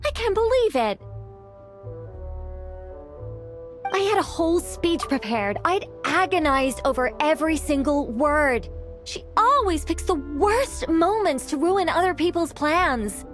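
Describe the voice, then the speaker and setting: Female angel for a game: fairly high voice, courteous, formal, earnest, music underneath. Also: lively intonation, unhurried, fairly bright tone. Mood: distraught. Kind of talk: video game character acting.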